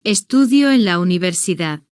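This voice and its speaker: Woman narrator for a learner story, slow and deliberate, dry close sound. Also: lowish voice, animated, darkish tone, smooth, dry recording. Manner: slow, deliberate, evenly paced short sentences. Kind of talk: slow scripted first-person story narration for beginners.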